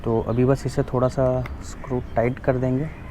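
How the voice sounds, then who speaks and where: A man's voice: mid-range voice, flat delivery, moderate pace, warm tone, slight background noise. A man with an Indian accent giving a casual, informal hands-on product walkthrough, mixing in English phrases. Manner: informal, switches between Hindi and English. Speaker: motovlogger presenting a product. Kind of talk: casual unboxing and installation walkthrough.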